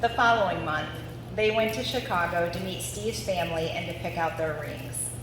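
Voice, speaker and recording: A woman's voice: fairly low pitch, moderate pace. A woman in her forties from Ohio reads a scripted family story aloud at a live gathering. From Ohio, United States. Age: 40s. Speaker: daughter reading family story at anniversary event. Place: large reverberant room at a live gathering. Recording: very echoey, distant mic, noisy.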